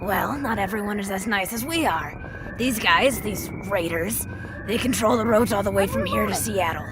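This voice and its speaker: A woman's voice: mid-range voice, normal speed, noisy background, lightly acted. A woman playing a tough, blunt, protective wasteland survivor in an audio drama, with a wry, plain-spoken manner. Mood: tense, explaining. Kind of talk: post-apocalyptic audio drama acting.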